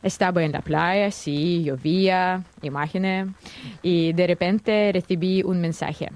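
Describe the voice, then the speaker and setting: Young Russian woman, non-native speaker with an accent, low-pitched, hesitant. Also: fairly even intonation, measured pace, a little mellow. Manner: careful, slightly hesitant, many fillers. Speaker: guest journalist. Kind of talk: interview answers.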